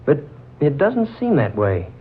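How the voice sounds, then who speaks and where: A man's voice: fairly high pitch, wildly swooping pitch, measured pace. An actor playing a courteous, puzzled man, hesitant and musing, in a 1940s radio drama. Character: courteous, puzzled gentleman haunted by odd familiarity. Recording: muffled, crackly old 1940s radio recording.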